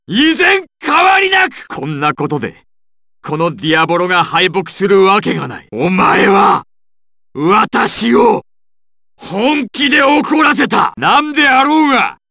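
A man's voice: very high-pitched, creaky, pushed and bright, no room sound, studio quality, heavily acted. A man playing a proud, ruthless crime boss villain in an anime fighting game, with an imperious, menacing and theatrical delivery. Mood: furious, shouting.

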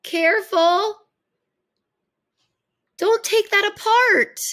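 A woman's voice: very high-pitched, very slow, fairly bright tone, pitched above the performer's own voice, heavily acted. A woman voicing a small childlike tooth creature from a storybook, babyish, whiny and playful. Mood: excited. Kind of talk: storybook read-aloud character voice.

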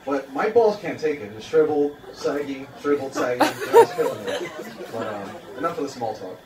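A man's voice: mid-range voice, lively intonation, moderate pace. Young new guy doing standup at a little open mic, bouncy voice, roomy and far off, noisy crowd behind. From Queens, New York. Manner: dry, self-mocking, many ums and uhs. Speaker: stand-up comedian. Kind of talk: stand-up comedy set. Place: small open-mic café stage, indoors. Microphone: handheld mic, filmed from audience. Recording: roomy, distant phone recording with noise. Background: audience laughter and room noise.

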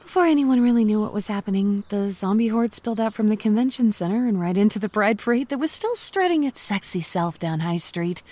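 An actress playing a cheerful, self-aware young zombie, chatting wryly and playfully to the listener in a comedic audio drama monologue. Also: female voice, on the high side, dry recording, lightly acted. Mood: amused. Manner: wry, playful, conversational asides to the listener.

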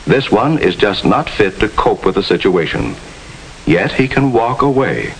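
A man's voice: on the low side, big pitch swings, unhurried, creaky, poor audio. An American man giving formal, instructive narration with precise diction in the style of a 1950s educational film. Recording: hissy 1950s film soundtrack.

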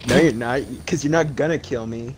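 A man's voice: mid-range voice, fairly quick. Cheeky young-sounding man on a noisy line. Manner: cheeky, defiant banter. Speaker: friend playing a survivor. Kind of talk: casual gaming chat.

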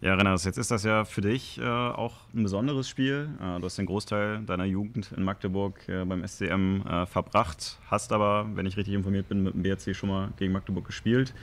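A man's voice: deep voice, fairly even intonation, a little fast, few pauses. A young man moderating a press conference, asking questions informally with many fillers.

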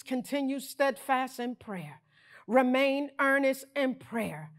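A middle-aged woman pastor preaching a sermon on a large church stage into a handheld mic, animated and breaking into shouts. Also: fairly high pitch.

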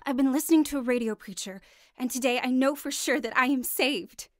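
A woman playing an earnest, anxious young wife seeking faith in a radio drama, heartfelt and expressive. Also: sits high, a little fast, no room sound, clean studio sound, natural voice. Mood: amazed, sincere. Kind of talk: radio drama acting, dialogue and narration.

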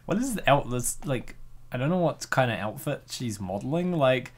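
A man's voice: medium pitch, animated, normal speed. A man hosting a comic reading, giggling often and rambling with lots of fillers. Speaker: host reading a comic dub. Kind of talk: casual chat.

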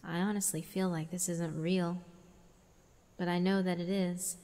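A woman's voice: lowish voice, flat delivery, unhurried, mellow. Woman voicing a wistful, weary female fiend. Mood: wondering, happy. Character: gentle female fiend, ancient, weary, wistful, newly freed. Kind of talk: dramatic visual novel narration.

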